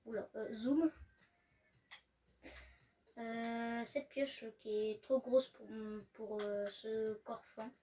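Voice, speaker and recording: A woman's voice: on the high side, steady tone, extremely slow, long pauses, warm tone, soft background music. A woman co-hosting a gaming video, chatting casually with lots of hesitant fillers. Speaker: gaming channel co-host. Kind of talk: casual gameplay chat. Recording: faint noise, distant from mic.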